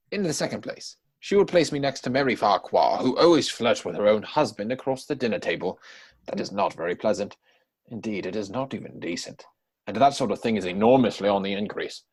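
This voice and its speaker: Man acting young dandy, Victorian comedy, husky, very lively intonation, arch, playful, witty, formal phrasing. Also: medium pitch. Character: witty, idle young English dandy, playfully prying bachelor. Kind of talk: dramatic reading of Victorian stage comedy. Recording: home recording over video call.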